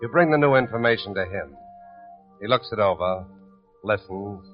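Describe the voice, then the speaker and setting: Male actor as dramatic old-radio narrator, grave and low, dull archival recording with music underneath. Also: lively intonation, normal speed, natural voice. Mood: grave, suspenseful.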